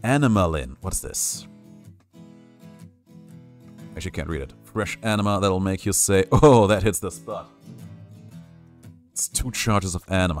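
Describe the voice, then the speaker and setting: A young man streaming a game, giving playful, jokey live commentary and reading chat aloud. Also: deep voice. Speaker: gaming streamer.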